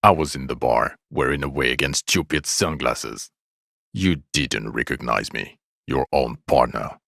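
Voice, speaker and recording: A male actor playing a bitter, exasperated, foul-mouthed police detective in a video game, scolding with weary sarcasm and contempt in a gravelly voice. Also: a bass voice, unhurried, fairly bright tone, dry recording, clean studio sound, heavily acted. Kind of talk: video game character dialogue.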